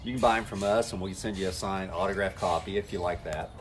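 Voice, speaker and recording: A man's voice: low-pitched, fairly even intonation, quick pace, slight background noise. A man coaching network marketers in a folksy, talk-to-camera style, acting out the conversations he has with people. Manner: folksy, acts out quoted conversations.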